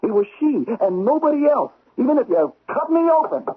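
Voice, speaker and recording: An actor playing an impulsive, stubborn investigator, agitated and insistent in a theatrical radio drama style. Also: male voice, extremely high pitch, very animated, brisk, breathy edge, exaggerated voice. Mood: insistent, heated. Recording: dull 1970s radio drama recording.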